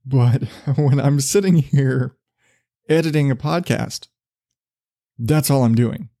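Male podcast host, low mellow voice, casual profane rambler, dry booth sound. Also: slow, no room sound. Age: not a millennial. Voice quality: relatively low voice. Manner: loose, profane, self-deprecating with many restarts.

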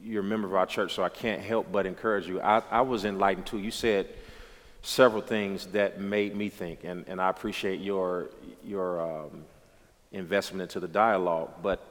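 A pastor in his late thirties speaks with a low voice and an emphatic, preacherly delivery. The sound rings with a long reverb. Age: 30s. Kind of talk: conversational dialogue, preaching.

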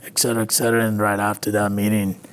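A man from Venezuela, a native Spanish speaker with a Latin American accent, telling his recovery story haltingly in an interview. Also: on the low side, monotone. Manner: halting, with frequent restarts and fillers. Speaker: interview guest. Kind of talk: personal recovery story, conversational.

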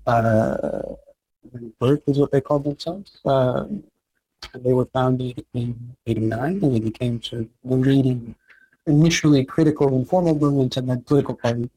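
A man answering interview questions about history, measured and explanatory, often checking in with "right?". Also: mid-range voice, fairly even intonation, dark tone, slightly rough, no echo, poor audio. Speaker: historian guest.

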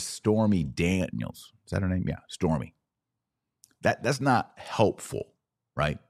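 Deep-voiced man giving emphatic live commentary. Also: a little mellow. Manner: emphatic, deliberate, repeats phrases for stress. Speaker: podcast host and commentator. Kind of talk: live political and religious commentary.

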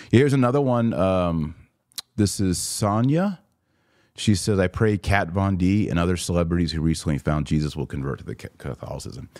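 An American man in his forties with a low voice and a dry, close sound. He talks as a podcast host on a livestream, conversational and direct with his audience. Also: a little mellow, no echo. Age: 40s. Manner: conversational, addresses listeners directly. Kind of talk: casual livestream commentary, answering viewer comments.

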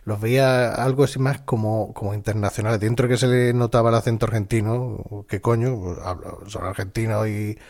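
A man from chatting casually, telling animated anecdotes with hesitant fillers. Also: on the low side, brisk, hardly any pauses. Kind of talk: casual chat.

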